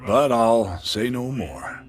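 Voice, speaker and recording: Male barman played by an actor, medium pitch, nostalgic and guarded, ominous storytelling, video game. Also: slow, soft background music, close to an everyday voice.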